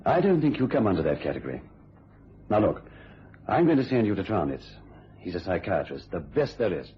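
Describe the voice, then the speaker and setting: An actor playing a firm, paternal family doctor in a radio thriller, questioning with authority. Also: male voice, low-pitched, sing-song, a little fast, slight background noise. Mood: probing, reassuring. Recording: dull lo-fi radio recording.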